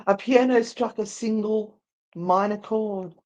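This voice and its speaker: Female narrator for paranormal romance, medium pitch, unhurried, theatrical delivery. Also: a little mellow, lo-fi sound. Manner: deliberate, theatrical. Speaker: narrator and co-host. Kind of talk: dramatic story narration, live read.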